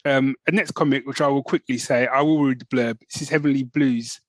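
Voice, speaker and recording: A man's voice: mid-range voice, steady tone, a little fast. A man co-hosting a podcast in casual chat, enthusiastic as he recommends comics and reads blurbs aloud. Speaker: podcast co-host.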